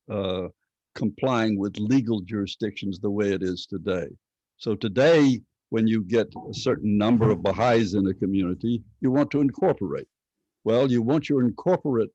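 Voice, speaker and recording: Hoarse older man lecturing online. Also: on the low side, dark tone, no echo. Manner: measured, halting with many fillers. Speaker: teacher. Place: home study with bookshelves. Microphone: laptop webcam video call. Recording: dull lo-fi video call audio.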